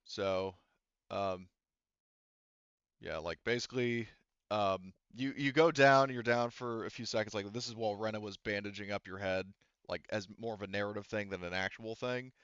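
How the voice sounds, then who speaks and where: Male game master, lowish smooth voice, casual hesitant explainer, slightly dull dry audio. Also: moderate pace, a bit bright, no echo. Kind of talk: casual tabletop game narration.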